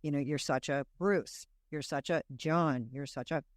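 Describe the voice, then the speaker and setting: Smooth-voiced woman, podcast co-host. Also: low-pitched, moderate pace, dry recording, studio quality. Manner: lively, listing facts she looked up. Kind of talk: casual chat.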